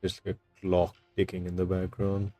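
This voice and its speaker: A man's voice: sits low, monotone, moderate pace, mellow. A man doing casual, off-the-cuff commentary while streaming a video game. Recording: game music faintly behind.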